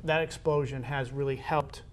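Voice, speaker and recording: A man hosting a casual interview show, speaking conversationally and piecing his phrases together haltingly. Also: mid-range voice, fairly even intonation. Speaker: host of a school athletics talk show.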